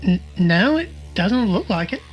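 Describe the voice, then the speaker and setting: A woman's voice: mid-range voice, sing-song, measured pace, muffled recording, faint music behind. A woman acting as a curious friend in a mystery audio drama, talking in a casual, questioning way. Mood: curious, concerned. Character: curious, casual female friend watching a game.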